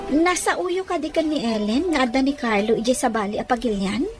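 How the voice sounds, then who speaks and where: High-voiced woman acting a devoted young daughter in love. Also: fairly quick, faint music behind, close to an everyday voice. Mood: worried, questioning. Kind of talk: Ilocano audio drama dialogue.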